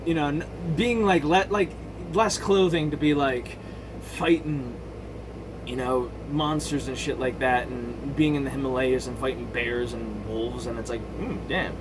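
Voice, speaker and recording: A man giving casual, opinionated commentary in a halting, blunt way, often interrupting himself to rephrase and swearing freely. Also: mid-range voice, fairly even intonation, noisy background. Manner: halting, self-interrupting, circling back to rephrase; blunt, crude slang and swearing. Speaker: video game movie commentator.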